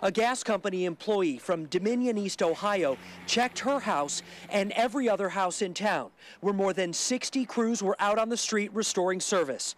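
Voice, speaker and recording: A man in his thirties reporting live outdoors at night with a handheld mic, brisk and earnest. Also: sits high. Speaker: field reporter. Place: outdoors at night in a residential street.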